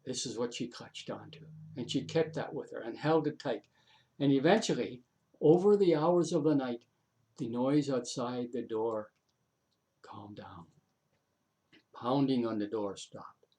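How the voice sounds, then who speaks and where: A man with a mid-pitched voice tells an old folk tale aloud. He is dramatic and unhurried, repeats phrases for effect and slips in casual asides to his listeners. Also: mellow, low-quality recording. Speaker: storyteller. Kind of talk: oral folk tale storytelling.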